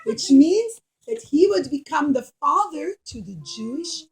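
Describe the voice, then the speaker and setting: A woman's voice: sits high, expressive, a little slow. A woman storytelling for children at a puppet show, speaking in simple, emphatic phrases and addressing the young listeners directly. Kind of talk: animated storytelling for children, asking questions.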